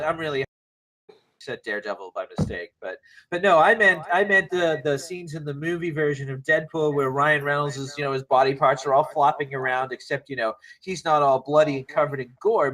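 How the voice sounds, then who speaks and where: A man who reads in a table read, chatting casually in a halting way. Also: a little high, a bit bright, poor audio. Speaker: script reader. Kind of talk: casual chat.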